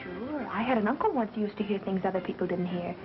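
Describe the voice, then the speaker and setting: An actress playing a quick-witted, wry woman under suspicion in a 1930s mystery film. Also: mid-range voice, expressive, natural voice. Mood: sarcastic. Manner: wry, sarcastic retorts. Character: quick-witted, sarcastic woman suspected of murder. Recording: muffled 1930s film soundtrack with music.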